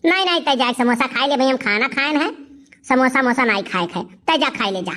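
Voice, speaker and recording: Woman as high-voiced nagging Awadhi village aunt. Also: animated, fairly quick, sharp tone. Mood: resigned. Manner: questioning, grumbling. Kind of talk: comic cartoon dialogue.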